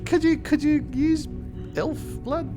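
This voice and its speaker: A woman's voice: high voice, fairly even intonation, slow pace. A woman playing a tabletop roleplaying game, casually narrating her character and chatting at the table. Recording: prominent music behind voice. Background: music.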